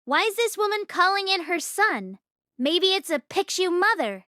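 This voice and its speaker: A woman voicing excitable, gossiping village onlookers in exclamatory bursts for a storybook. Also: extremely high pitch, normal speed, no room sound, clean studio sound, voice pushed up, heavily acted. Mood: puzzled gossip. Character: excitable, gossiping villagers watching a street brawl.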